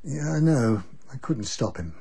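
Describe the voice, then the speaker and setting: An actor playing a gruff, weary, affectionate older English husband and father in a radio drama, with blustery old-fashioned turns of phrase. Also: mid-range voice, expressive, unhurried, mellow, full character voice. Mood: fond, resigned. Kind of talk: naturalistic radio drama acting.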